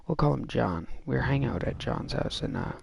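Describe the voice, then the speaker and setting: Gravelly young man rambling a story. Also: medium pitch, lively intonation, fairly quick. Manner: rambling, filler-heavy storytelling. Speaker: gaming vlogger. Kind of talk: casual storytime while playing a shooter game. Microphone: gaming mic. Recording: game music loud in the background. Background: game music.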